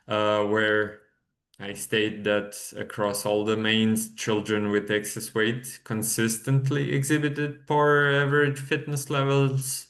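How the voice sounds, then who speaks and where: Slow, halting man from Croatia. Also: fairly low pitch. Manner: slow, halting, many filler sounds. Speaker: conference presenter. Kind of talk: academic talk over video call. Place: video call. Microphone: computer mic on a video call.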